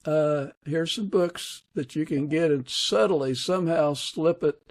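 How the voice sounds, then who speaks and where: A man's voice: a little high, measured pace, no echo, studio quality. A man hosting a podcast, talking in a casual interview style. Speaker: podcast host.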